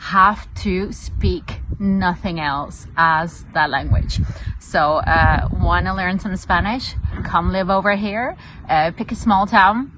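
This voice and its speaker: A woman with a lowish voice tells a personal story in a relaxed way, stopping often on "uh" and "um". Clear noise runs behind her. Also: slow. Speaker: real estate agent. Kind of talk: casual storytelling.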